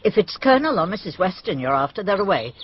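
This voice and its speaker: A helpful country local from a radio play, chatty and obliging. The voice is husky and swings widely in pitch, on a slightly dull recording. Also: big pitch swings, fast talker. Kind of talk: radio drama acting.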